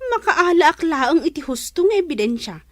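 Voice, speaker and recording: An actress with a Filipino accent playing a suspicious, tattling young daughter in a radio drama. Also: female voice, sits high, sing-song, normal speed, no echo, clean studio sound. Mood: suspicious, indignant.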